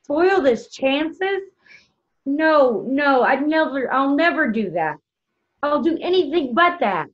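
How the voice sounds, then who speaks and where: A woman's voice: high voice, sing-song, slow pace, heavily acted. A woman playing an anguished, hesitant young wife in a stage play table read. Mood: distressed, refusing. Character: Linda, anguished young woman torn over leaving her husband. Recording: dull lo-fi video call audio.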